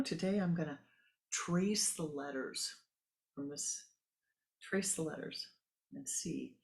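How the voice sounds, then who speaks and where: A man giving a spiritual talk, musing aloud and repeating words for emphasis. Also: a little high. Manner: emphatic repetition, musing asides. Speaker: spiritual teacher. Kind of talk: sermon.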